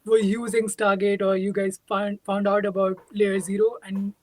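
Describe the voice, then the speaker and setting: A man's voice: sits high, monotone, normal speed, a little mellow. A man hosting a casual interview, peppering his questions with "like". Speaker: podcast host, interviewer.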